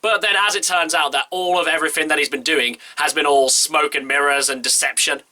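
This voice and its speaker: A young man reviews a film in a chatty, eager vlog, his nose stuffy from a cold. He talks very fast, with a bright, forceful tone that sits a little high for a man. The sentences run on in quick bursts. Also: steady tone. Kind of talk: casual movie review to camera.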